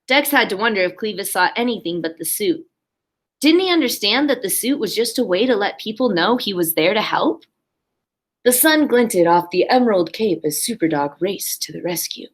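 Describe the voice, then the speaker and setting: A woman's voice: mid-range voice, fairly bright tone. A woman primary school teacher reading a story aloud expressively and talking simply and encouragingly to young children.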